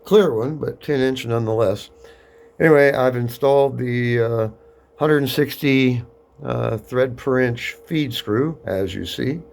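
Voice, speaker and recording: A man giving a casual, matter-of-fact hands-on demonstration, narrating each step aloud in an unhurried way. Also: medium pitch, a little mellow. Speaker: record cutting demonstrator. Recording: clear background noise.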